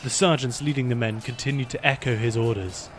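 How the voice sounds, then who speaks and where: A man narrating a fantasy battle in measured, scripted storytelling for a fan audio drama. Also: medium pitch, soft background music.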